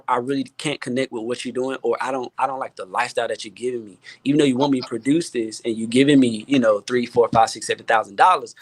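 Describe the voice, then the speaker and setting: A man's voice: medium pitch, a bit bright, no echo. A man, a music producer and guest, talking in an impassioned, preaching style, earnest and emphatic, often repeating his phrases for weight. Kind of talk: impassioned, preaching-like panel chat.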